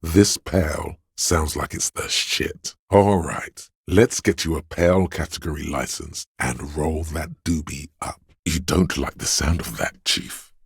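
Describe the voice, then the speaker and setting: A man voicing a sleazy, hedonistic inner voice of addiction in a video game, with a slow, coaxing, streetwise manner and a deep gravelly, husky sound. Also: fairly even intonation, no room sound, clean studio sound, exaggerated voice. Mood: craving, goading. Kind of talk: video game character voice acting.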